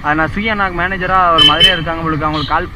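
A young man talks at a rapid clip in a slightly high voice. He rambles on to his viewers from an action camera on his moving motorbike. Clear road and wind noise runs under him the whole way. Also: fairly even intonation. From India. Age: young adult. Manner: chatty, addresses viewers constantly, rambling repetitions. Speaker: motovlogger. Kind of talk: casual chat to viewers while riding. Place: outdoors on a moving motorbike, city streets. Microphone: helmet or chest action camera.

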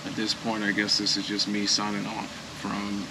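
An American man in his thirties speaking casually and haltingly to camera as a vlog host, reflective, recorded in an open wooden shed outdoors. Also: fairly low pitch, completely flat delivery, noisy background.

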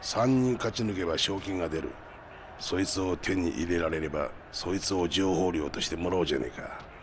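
An actor playing a shrewd older underworld information broker in a game cutscene, with sly, rough, expansive gangster talk. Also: male voice, low voice, fairly quick, noisy background. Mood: darkly matter-of-fact. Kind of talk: video game cutscene acting. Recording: music behind the voice.

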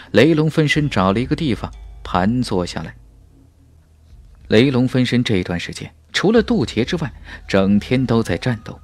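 A man's voice: medium pitch, no room sound. A man reading a fantasy audiobook as a scripted, dramatic narration with emphatic storytelling. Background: background music.

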